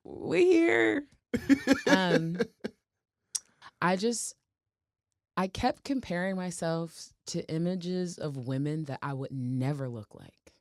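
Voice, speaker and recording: A woman from Portland, Oregon, speaks in a low, commanding voice. She tells her story expressively, acting out others' words and laughing easily. The sound is close and dry. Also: expressive, slow, vocal fry, long pauses, no room sound. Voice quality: deep, commanding voice. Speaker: singer, interview guest. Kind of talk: casual interview chat.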